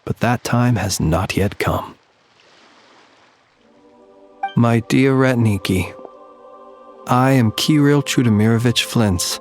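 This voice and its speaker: A man voicing a solemn, courtly keeper of the dead in a video game, speaking in formal, measured, eloquent phrases. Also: medium pitch, faint music behind, lightly acted. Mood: reverent. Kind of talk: video game character acting.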